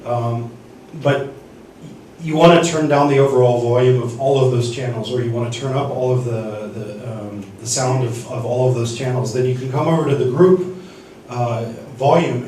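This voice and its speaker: A man teaching a seminar on church sound desks, speaking haltingly with many restarts and fillers. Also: medium pitch, fairly even intonation, warm tone, a little hiss or hum. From United States. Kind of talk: teaching sound-desk basics. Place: church hall. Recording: echoey hall from far off.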